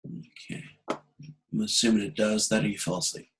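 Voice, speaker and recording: A man giving an online lecture as an instructor, explaining problems step by step in a halting way and often checking that he is understood. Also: on the low side, completely flat delivery. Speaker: university instructor. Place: video conference call.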